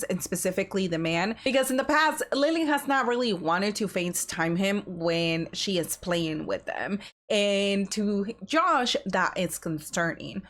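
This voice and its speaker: A woman's voice: medium pitch, a bit bright. A woman hosting a reaction channel, recapping a reality show in a sassy, chatty way. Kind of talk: casual recap commentary.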